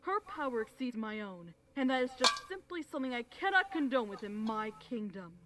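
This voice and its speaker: A woman playing a cold, manipulative pony queen in a dramatic fan fiction audio reading, speaking slowly and deliberately. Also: on the high side, big pitch swings, sharp tone, a little hiss or hum. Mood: cold, confiding. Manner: slow, menacing, silky and deliberate. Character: sinister regal mare ruler, cold and manipulative. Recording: voice over background music.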